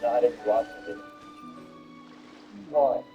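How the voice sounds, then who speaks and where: A man talking casually in a defensive, halting, argumentative way. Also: medium pitch, steady tone. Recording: dull, muffled sound with music.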